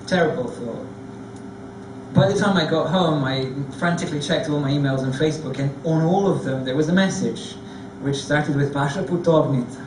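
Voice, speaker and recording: A man's voice: fairly high pitch, normal speed, recorded from a distance, noisy background. Man telling stories in an echoey hall. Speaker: panelist. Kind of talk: anecdotal storytelling. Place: large theatre stage before an audience. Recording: echoey hall recorded from afar.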